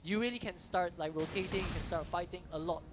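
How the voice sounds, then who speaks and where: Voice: mid-range voice, quick pace, background noise. An esports co-caster giving casual, chatty color commentary and talking to the stream chat. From Singapore. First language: Chinese is second language. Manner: casual, laughing, talks to chat. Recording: commentary over loud game music.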